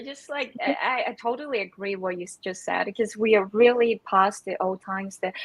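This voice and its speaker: A woman whose first language is Chinese, chatting as a guest on an online call, speaking haltingly with many restarts and repeated words. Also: mid-range voice, no echo. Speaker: guest, community manager. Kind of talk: casual panel chat.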